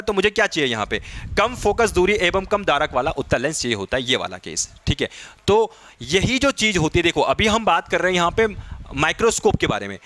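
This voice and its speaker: Male physics tutor, slightly high pitch, dry close sound, explains step by step and keeps checking in. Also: fairly bright tone, dry recording. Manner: checks in often with short tag questions; mixes Hindi with English technical terms. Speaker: physics teacher for exam preparation. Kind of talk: exam-prep lesson, explaining questions step by step.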